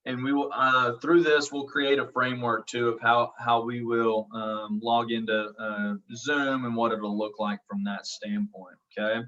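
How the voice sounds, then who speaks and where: A man's voice: mid-range voice, flat delivery, a little slow, few pauses, pushed and bright. A man in his thirties with an Oklahoma accent, a college instructor introducing his online course in a reassuring, casual way, full of ums and "okay?" check-ins. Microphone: video call. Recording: dull lo-fi audio from a distance.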